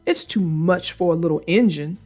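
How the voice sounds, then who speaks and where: A woman's voice: fairly low pitch, normal speed, a little mellow, soft background music, voice pushed down, close to an everyday voice. A woman voicing a big male steam engine in a children's storybook, grave and fretful. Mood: concerned.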